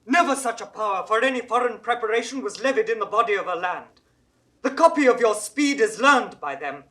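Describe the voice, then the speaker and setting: A man's voice: high voice, lively intonation, brisk, bright, forceful tone. A man acting an urgent royal messenger in a classical Shakespeare audio drama, with formal theatrical delivery. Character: urgent messenger bringing grim news to his king. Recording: distant from the microphone.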